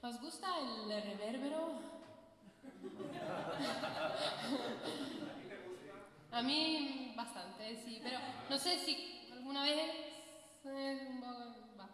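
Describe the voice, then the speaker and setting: A woman talking with the audience between songs as singer and bandleader, relaxed and chatty with hesitant fillers, on a stage mic. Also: high-pitched, extremely slow, long pauses, a crowd in the background. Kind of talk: between-song stage banter. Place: concert hall with a live audience. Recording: very echoey hall, long reverb, faint guitar.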